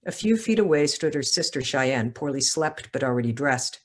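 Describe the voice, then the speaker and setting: A woman's voice: rumbling low, animated, moderate pace. A woman author speaking at a literary event, with talk and reading from her own writing. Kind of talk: casual talk, then literary reading aloud.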